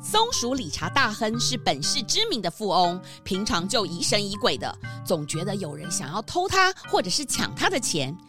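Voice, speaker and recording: A woman narrating a scripted children's story in an expressive, storytelling manner. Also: medium pitch, normal speed, few pauses, music in the background.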